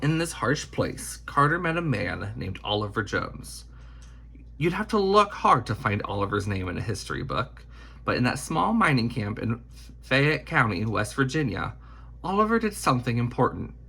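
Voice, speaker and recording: A man reading a children's picture book aloud in deliberate, emphatic phrasing. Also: medium pitch, sing-song, gravelly, pushed and bright, slightly rough. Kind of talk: scripted storybook read-aloud.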